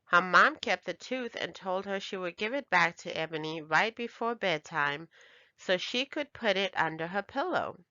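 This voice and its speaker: A woman's voice: fairly low pitch, expressive, moderate pace, sharp tone, dry recording, dull audio. A woman narrating a children's storybook as a scripted read-aloud for young readers.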